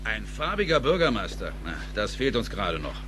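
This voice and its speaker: A man playing a gruff café boss, dismissive and sarcastic, in dubbed film dialogue. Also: lowish voice, big pitch swings, fairly quick, a bit bright, slight background noise. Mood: scornful.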